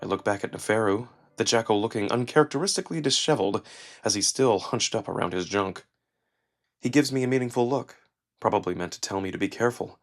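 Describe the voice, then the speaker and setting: Guy narrating a visual novel story, dramatic, expressive, music underneath. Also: medium pitch. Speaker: narrator and voice performer.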